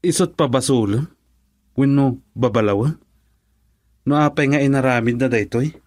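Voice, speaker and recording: A man's voice: medium pitch, normal speed, a little mellow, no room sound, clean studio sound, close to an everyday voice. A man acting in an Ilocano radio drama as a frank friend, speaking in an emphatic, questioning way.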